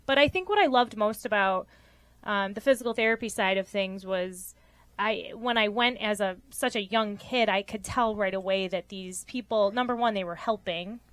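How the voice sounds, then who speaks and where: A woman's voice: medium pitch, moderate pace, fairly bright tone, dry recording. A young woman from Rochester, New York, a physical therapist guest giving earnest interview answers with frequent ums.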